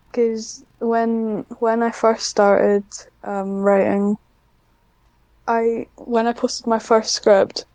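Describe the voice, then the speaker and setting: A woman's voice: medium pitch, a little mellow, clean tone, no room sound. A woman chatting casually as an interview guest, speaking in a hesitant, self-conscious way with frequent restarts. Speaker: podcast guest, scriptwriter.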